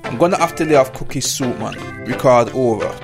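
A man's voice: mid-range voice, lively intonation, a little fast, close to an everyday voice. A man playing a laid-back, muddled stoner crewman in a comedy radio play. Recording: music behind the voice.

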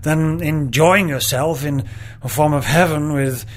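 A man playing a self-satisfied, self-indulgent starship officer in a comedy radio play, smooth-talking. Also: on the high side, measured pace. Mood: self-justifying.

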